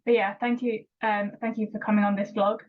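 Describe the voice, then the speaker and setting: A young woman hosting an interview chat and speaking encouragingly to her guest. She stammers, repeats words and fills pauses with "um." Her pitch sits in the middle range, and the recording sounds dull and lo-fi. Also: level tone. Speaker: interviewer and regular vlogger.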